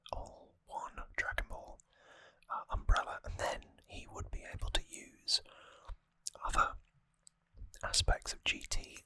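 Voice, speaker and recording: ASMR host: fully whispered, bright and breathy delivery, musing trivia commentary, close dry mic. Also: no room sound. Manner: musing, conversational trivia talk. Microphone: close microphone, dry sound.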